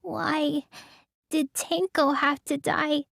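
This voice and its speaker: A woman's voice: high voice, level tone, slow, vocal fry, a bit bright, no echo, studio quality, full character voice. A woman voicing a sulky, lazy teenage girl in a video game, with a pouty drawl that stammers when flustered. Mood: sad, hurt. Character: sulky, lazy teenage girl who claims real magic. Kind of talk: English video game voice acting.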